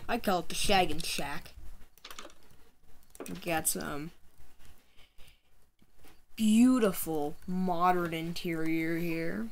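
A woman's voice: on the low side, slight background noise. A young woman chatting playfully through her gameplay, her voice tired late at night, with yelps when she panics. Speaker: gaming channel host. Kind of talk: casual gameplay commentary. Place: home room at night.

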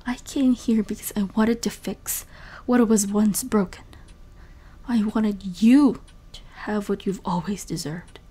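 Woman acting breathy, mellow, wounded young woman. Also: medium pitch, fairly even intonation, measured pace. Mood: tender, sad. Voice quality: breathy, often near-whispering. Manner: earnest, intense, emotional. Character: wounded young woman, caring but firm, hurt stepsister. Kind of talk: emotional audio drama acting.

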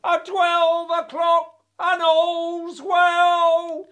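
A woman's voice: extremely high pitch, completely flat delivery, a bit bright, full character voice. A woman acting a fussy, easily flustered night-watch town crier in a comic audio play, prim and indignant. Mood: proclaiming. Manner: prim, indignant, calls out the hour in drawn-out cries.

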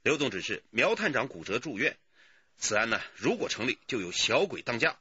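爱闲扯的男刑警，语调起伏很大. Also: male voice, medium pitch, brisk, husky, dry recording, dull audio, exaggerated voice. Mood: jocular, official. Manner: lively, digressive. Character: chatty, good-humoured male police detective colleague. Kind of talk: radio drama acting.

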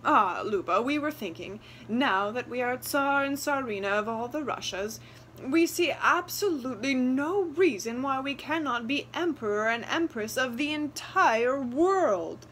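A woman's voice: high voice, lively intonation, unhurried, fairly bright tone, pitched above the performer's own voice. A woman voicing a greedy tsar and tsarina in a storybook, growling and hissing commands. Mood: commanding. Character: greedy royal couple, tsar and tsarina, domineering.

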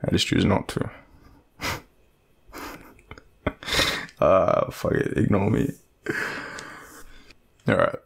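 A man's voice: low voice, lively intonation, very slow, gravelly, long pauses, breathy edge, exaggerated voice. An Australian man playing an awkward, joking young guy with a secret crush, rambling and hesitant in an intimate, casual audio roleplay. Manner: rambling, hesitant, self-deprecating, swearing.

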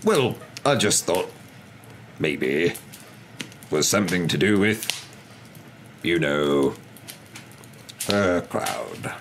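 A man's voice: deep voice, lively intonation, extremely slow, pauses often, slight background noise, full character voice. A man voicing an anxious middle-aged suburban husband who mumbles and hesitates, in a storybook reading. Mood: tentative, nervous.

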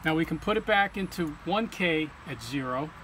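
A man with a fairly high-set voice walks through a technical procedure. He is plain-spoken and informal, going one step at a time like a technician showing a learner. There is noticeable ambient noise behind him. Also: lively intonation, normal speed, a bit bright. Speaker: technician demonstrating equipment calibration. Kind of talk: informal hands-on tutorial. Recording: clear background hum or ambient noise.

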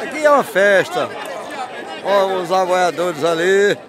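A man's voice: high-pitched, expressive, a little slow. A man with a Northeastern Brazilian accent chatting casually as he films outdoors at a fair. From Brazil, rural Pernambuco. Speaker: vlogger filming at a livestock fair. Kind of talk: casual chat while filming. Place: outdoors at an open cattle fair. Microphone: handheld phone behind the camera. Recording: busy outdoor crowd noise. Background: crowd chatter.

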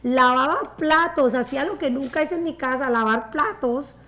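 A woman's voice: high-pitched, normal speed. A woman in her forties from Colombia, speaking Spanish with a Colombian accent, telling her life story as a guest in a casual interview, talking with her hands. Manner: animated, gestures with her hands. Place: bright living room with a sofa and water view.

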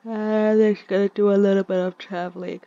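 A woman's voice: mid-range voice, little pitch movement, a little fast, dark tone, no echo. A woman giving chatty, casual commentary on her gameplay and talking to her game characters, in a slightly dull lo-fi recording. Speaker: gaming video creator.

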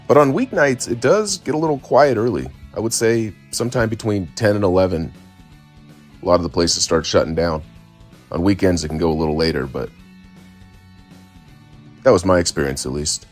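A man with a fairly low voice speaks in an easygoing, informal way. He sounds like a travel vlogger talking into a phone outdoors. Faint music plays behind him. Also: lively intonation, moderate pace, long pauses. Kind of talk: casual travel vlog narration. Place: outdoors on town streets and beach. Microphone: phone at arm's length.

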